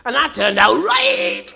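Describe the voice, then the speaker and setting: A performer playing a nagging, screechy elderly landlady in a comic radio sketch, scolding loudly. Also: extremely high pitch, vocal fry, heavily acted.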